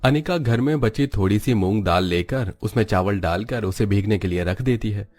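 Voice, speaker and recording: A man's voice: fairly low pitch, normal speed, a little mellow. A man narrating a Hindi cartoon story in a scripted storytelling style.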